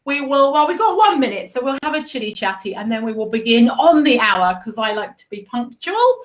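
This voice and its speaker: A woman's voice: a little high, very animated, brisk. An Australian woman hosting a live-streamed interview in a bubbly, upbeat, chatty way. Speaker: host and art business mentor. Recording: echoey room.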